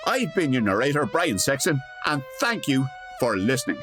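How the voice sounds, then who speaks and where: Theatrical male narrator, hugely animated pitch. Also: normal speed. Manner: theatrical, wry. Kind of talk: scripted comic narration. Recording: loud music behind the voice. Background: musical score.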